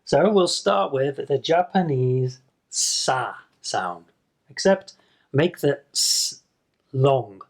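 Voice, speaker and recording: Slow, patient male English teacher. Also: mid-range voice, expressive, vocal fry. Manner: patient, demonstrates sounds slowly and repeats them. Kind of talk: slow explanatory video lesson to camera.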